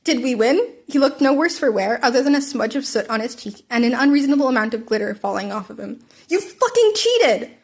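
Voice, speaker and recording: High-ish woman reading a story aloud. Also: fast talker, hardly any pauses. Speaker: narrator. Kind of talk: amateur fan fiction audiobook reading.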